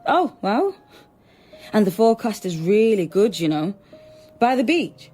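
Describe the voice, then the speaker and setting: A woman's voice: medium pitch, big pitch swings, measured pace, mellow, breathy edge, soft background music. An actress in a naturalistic radio drama playing a watchful undercover policewoman posing as a nanny, tentative and guarded. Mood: coaxing.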